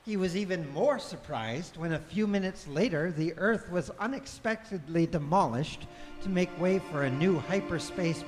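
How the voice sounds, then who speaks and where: A man playing the narrator of a comic science fiction radio play, reading scripted narration in a live performance. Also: a little high. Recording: music under the voice. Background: music.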